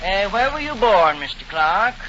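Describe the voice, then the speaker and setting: An actor playing a hurried, fussy marriage license clerk in a 1940s radio comedy. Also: male voice, high-pitched, very animated, sharp tone, heavily acted. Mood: businesslike. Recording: dull, noisy 1940 radio recording.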